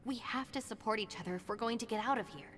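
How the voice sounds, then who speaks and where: A woman voicing a level-headed, dryly exasperated young gang deputy in a video game, speaking in a measured, matter-of-fact way. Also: fairly high pitch, a bit bright, in a large hall, a little hiss or hum, close to an everyday voice. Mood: earnest resolve.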